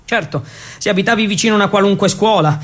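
Man, high voice, dramatic theatre narration, deliberate repetitions, ironic asides. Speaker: actor and storyteller.

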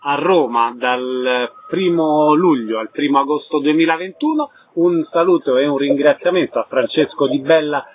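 Male radio interviewer, slightly high pitch, concise questions and announcements, muffled audio. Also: animated, moderate pace, hardly any pauses, no room sound. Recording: dull, noisy radio interview audio with clicks.

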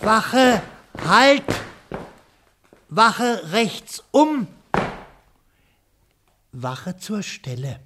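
A palace guard, barks drill commands in very drawn-out words. The pitch swings widely, in theatrical radio-play style, over a faintly noisy background. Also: female voice, sing-song. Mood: commanding. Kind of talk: German children's radio play acting.

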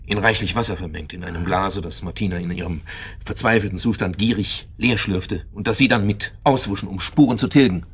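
A bitter man doggedly piecing together a crime in a radio play. His voice is on the low side, and he probes with sardonic questions and halting, repeated words. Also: a bit bright, husky, heavily acted. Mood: insistent. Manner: probing, sardonic, halting repetitions. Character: dogged, bitter male accuser reconstructing a crime. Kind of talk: radio drama acting.

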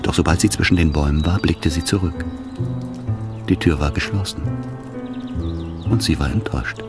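A man narrating a literary radio drama in a measured, solemn manner. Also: rumbling low. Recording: orchestral music behind the voice.

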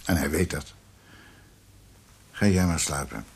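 Casting: elderly ex-judge, stubborn and brooding, very low husky voice, radio play. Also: male voice, measured pace, darkish tone, heavily acted. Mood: brooding, resolute. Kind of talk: radio drama acting.

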